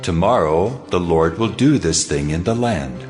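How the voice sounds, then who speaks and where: A man playing God in a dramatized scripture audio drama, solemn and majestic, speaking in deliberate declamation. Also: deep voice, lively intonation, a little mellow. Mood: solemn command. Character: God of Israel, solemn, commanding, majestic divine voice. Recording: reverberant hall, music underneath.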